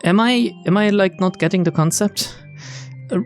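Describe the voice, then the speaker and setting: A let's player with a mid-pitched voice reads visual novel text and comments casually on it. Game music plays faintly behind the talk. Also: normal speed. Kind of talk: casual playthrough commentary and read-aloud narration.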